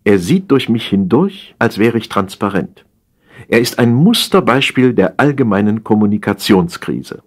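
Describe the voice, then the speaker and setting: A man narrating a humorous satire as an audiobook reader, in an expressive, measured storytelling manner. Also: lowish voice.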